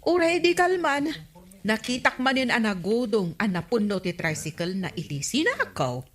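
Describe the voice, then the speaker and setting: A woman's voice: a little high, very animated, normal speed. An actress playing a nosy, skeptical village councilwoman with an Ilocano accent in a radio comedy drama. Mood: nosy, insistent.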